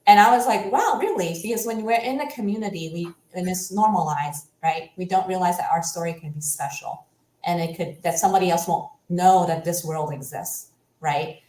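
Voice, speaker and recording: A woman whose first language is Cantonese, a coach talking as an interview guest in an animated, casual way, often ending with "right?". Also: lowish voice, moderate pace. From United States. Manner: animated, ends points with "right?". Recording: echoey room.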